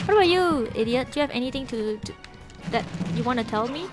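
A woman's voice: fairly high pitch, animated, fast talker, over loud music. Giggly young Singaporean woman streaming. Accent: Singaporean English. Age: young adult. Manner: chatty and giggly, trailing off and restarting. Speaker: Twitch streamer. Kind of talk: casual live-stream chat with viewers. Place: small bedroom, indoors. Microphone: desk microphone with headset.

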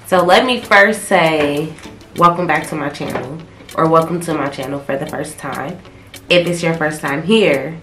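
A woman's voice: sits low, moderate pace, a bit bright, echoey room, faint music behind. A young woman from Ohio with a stuffy, congested cold voice, chatting casually to camera while telling a story. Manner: loose, slangy, talkative, gestures a lot. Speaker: vlogger. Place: small bedroom in front of a pink wall. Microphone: camera a few feet away.

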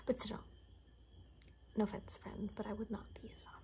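A young woman doing an improvised ASMR roleplay in a soft, often whispered voice, rambling and repeating words in a hushed, unhurried way. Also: medium pitch, completely flat delivery. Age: young adult. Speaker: ASMR creator playing a caretaker. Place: small bedroom, indoors.

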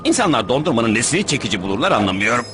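A man's voice: medium pitch, sing-song, very fast, over music, close to an everyday voice. A man voicing a grumpy, scheming pirate captain in a dubbed cartoon, bossy and full of complaints. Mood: grumbling, bossy.

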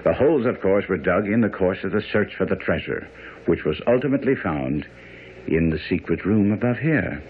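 A man's voice: low voice, expressive, normal speed, husky. A man acting as a self-assured master detective in a radio drama, precise, commanding and didactic. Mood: confident, excited. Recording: muffled, noisy radio broadcast.

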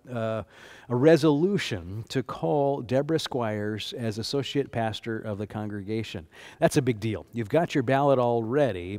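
Animated older pastor giving announcements. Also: male voice, medium pitch. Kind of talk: church announcements. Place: church sanctuary.

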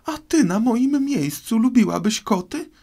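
A man's voice: very high voice, expressive, unhurried, gravelly, darkish tone, pitched above the performer's own voice, exaggerated voice. A man voicing an easily offended female mouse in a storybook, shrieking with passion and scorn. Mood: shrill outrage. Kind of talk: storybook character voice.

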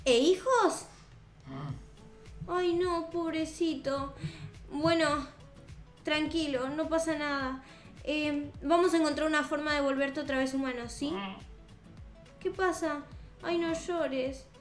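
A high-voiced young woman with a Rioplatense accent plays through a game roleplay. She talks expressively, with a sweet, childlike delivery. The room adds noticeable echo, and music plays softly behind her. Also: fairly even intonation, takes it slowly, long pauses. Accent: Rioplatense Spanish. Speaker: gaming video host. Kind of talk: improvised in-character gameplay roleplay. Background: background music.

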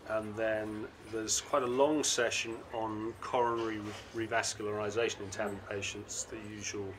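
A middle-aged man hosting a conference interview, asking brisk questions in a conversational way with an uneven pace. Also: lowish voice, noisy background. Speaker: interviewer and panel host. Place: seated at a conference booth indoors. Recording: constant conference hall ambience.

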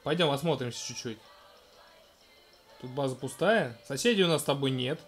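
Gentle man narrating a game to his toddler. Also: medium pitch, lively intonation, normal speed, faint music behind. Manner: gentle, coaxing, talks to the child, narrates what is on screen. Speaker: let's-play host playing with his toddler. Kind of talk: casual game commentary to a small child.

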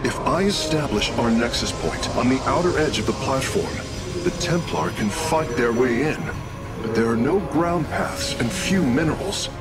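An actor playing an earnest, analytical alien engineer in a video game, humble and dutiful, speaking formally and precisely. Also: male voice, medium pitch, expressive, moderate pace, lightly acted. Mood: thoughtful, calculating. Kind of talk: video game dialogue acting. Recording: loud music under the voice.

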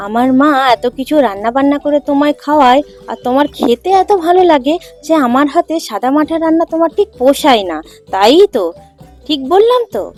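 A woman's voice: high-pitched, lively intonation, brisk, a little mellow, soft background music. A woman voicing fussing, affectionate village family women in a cartoon folk tale. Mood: chiding. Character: doting, fussing mother-in-law and her chiding married daughter.

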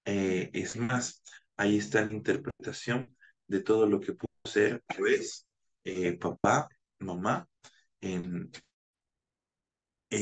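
A man in his thirties from Bolivia, a naturopath giving a measured online conference lecture over a webcam, with frequent hesitation fillers. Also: fairly low pitch, vocal fry. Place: home room, indoors. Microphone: video call webcam.

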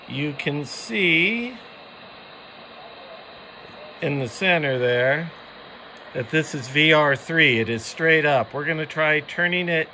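A man's voice: a little high, pushed and bright, slight background noise, rough recording. An American man giving casual how-to narration as a hobbyist video host, recorded in a room at home.

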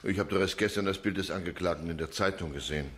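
An actor playing a plain-spoken taxi driver on the witness stand in a radio play, colloquial and halting. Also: male voice, deep voice, slight background noise, close to an everyday voice. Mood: matter-of-fact. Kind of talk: courtroom radio play acting.

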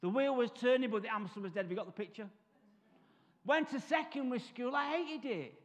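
High-voiced Mancunian man telling his story. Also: animated, fairly quick. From Salford, Manchester. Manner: storytelling with comic asides and acted-out voices. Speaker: guest speaker. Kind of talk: testimony talk at a church service. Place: large church hall, stage. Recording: reverberant church hall.

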